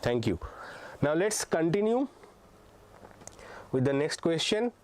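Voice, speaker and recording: A young male physics teacher gives a live online class for exam preparation. His voice sits a little high for a man. He works through each point step by step, hesitating often and checking in with the class. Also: big pitch swings, takes it slowly. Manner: frequent hesitations with uh and um.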